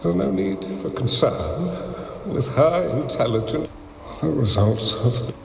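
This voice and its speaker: An actor playing a calm, resigned dying master strategist in a video game, grave and halting, with a gravelly voice. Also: male voice, fairly high pitch, exaggerated voice. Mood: solemn, resigned. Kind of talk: dramatic video game voice acting. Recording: echoey hall reverb, faint background noise.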